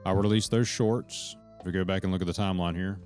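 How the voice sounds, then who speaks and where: A deep, low-voiced man talks loosely to his stream chat, hopping between thoughts and cursing as he goes. Faint guitar music plays behind him. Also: steady tone, fast, darkish tone. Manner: rambling, profane, jumps between points; talks to chat members directly. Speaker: live streamer. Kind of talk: rambling live-stream chat to viewers.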